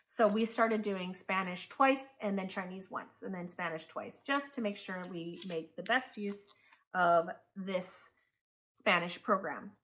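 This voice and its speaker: A woman in her thirties who grew up in Taiwan, a homeschooling parent talking casually to the camera, chatty and quick to correct herself. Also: medium pitch, fairly bright tone. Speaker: homeschooling parent and channel host.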